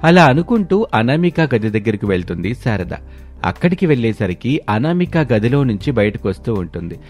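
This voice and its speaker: A man's voice: mid-range voice, big pitch swings, normal speed, darkish tone, faint music behind. A man narrating a scripted moral story.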